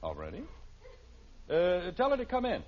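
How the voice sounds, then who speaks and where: Blustery american sitcom dad, flustered, highish voice, dull old radio sound. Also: male voice, wildly swooping pitch, normal speed. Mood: urgent. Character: exasperated middle-aged suburban father, easily flustered, blustering. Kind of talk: 1950s radio sitcom acting.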